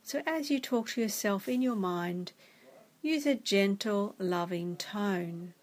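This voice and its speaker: A woman guiding a meditation in a soft, gentle, soothing way. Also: medium pitch, slow. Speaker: meditation guide. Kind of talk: guided meditation narration.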